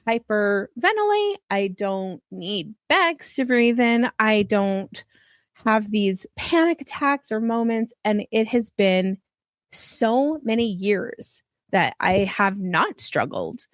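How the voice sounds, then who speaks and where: Woman, middle-aged, podcast host, mid-pitched voice, affirming, chatty storytelling, laughs often, dry close sound. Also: animated, slow, no room sound. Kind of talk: casual interview conversation.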